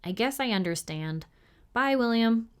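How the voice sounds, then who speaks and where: A woman's voice: fairly high pitch, lively intonation, unhurried, clean tone, pitched above the performer's own voice. A woman voicing a curious, chatty young schoolboy in a storybook reading, asking things in a direct way. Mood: resigned. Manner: inquisitive, direct.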